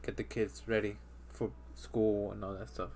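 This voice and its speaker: A man's voice: lowish voice, level tone, normal speed, creaky, darkish tone, slight background noise. A man in his thirties hosting a casual podcast chat, rambling with lots of fillers and restarts. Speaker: podcast host.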